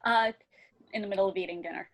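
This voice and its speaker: A woman from New Jersey introduces herself casually in an online class. Her pitch is medium for a woman. She talks informally, as a student would. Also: fairly even intonation, fast talker. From New Jersey, USA. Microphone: online class call.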